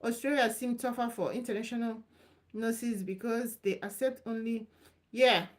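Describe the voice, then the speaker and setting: Woman, live Q&A host, casual and reassuring, fairly high voice. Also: fairly even intonation, normal speed. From Nigeria. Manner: chatty, loose and repetitive, reassuring. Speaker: live stream host answering visa questions.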